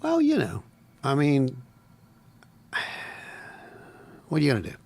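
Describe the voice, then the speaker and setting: Gravelly-voiced male co-host. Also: fairly high pitch, huge pitch swings, takes it slowly, darkish tone. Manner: agreeable, frequent short affirmations, rambling. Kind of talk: casual live radio chat.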